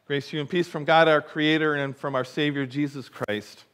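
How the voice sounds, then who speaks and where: A man's voice: a little high, steady tone, moderate pace, a bit bright. A middle-aged man, a pastor, reading the gospel and preaching a sermon in a church sanctuary, telling the story vividly and asking the congregation questions.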